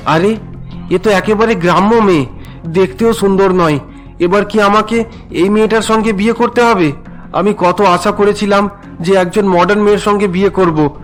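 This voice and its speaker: Guy doing a young cartoon son who hates his arranged marriage, whiny, high male voice, faint music. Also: steady tone, moderate pace, lightly acted. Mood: bitter. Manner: whiny, indignant, protesting. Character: resentful young city-working son, unwilling groom.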